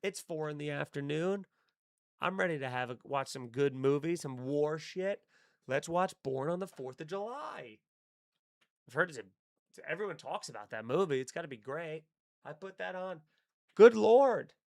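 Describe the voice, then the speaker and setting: A man's voice: fairly high pitch, expressive, moderate pace, gravelly, darkish tone, no room sound, studio quality. A young man hosting a live stream, chatting casually, rambling and swearing with wry asides. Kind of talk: casual live-stream chat reading chat comments.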